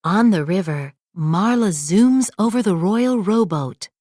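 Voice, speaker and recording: A woman reading a children's story aloud as a scripted, expressive narration. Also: mid-range voice, unhurried, no echo, clean studio sound. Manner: expressive read-aloud delivery.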